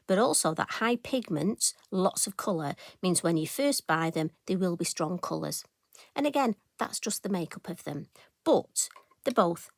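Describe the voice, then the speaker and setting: A woman talks through her craft project in a casual, homely chat full of asides. Her voice sits a little low and sounds croaky. The recording is dry and close, with no room sound. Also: no echo. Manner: chatty, homely, rambling asides. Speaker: craft demonstrator.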